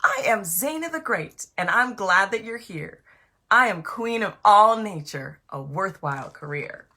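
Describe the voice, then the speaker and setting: A fearless young storybook girl, played by a woman, proud queen of nature. She speaks in bold declarations with a bright, forceful voice. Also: mid-range voice, lively intonation, measured pace, full character voice. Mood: proud, confident. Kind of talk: children's picture-book character reading.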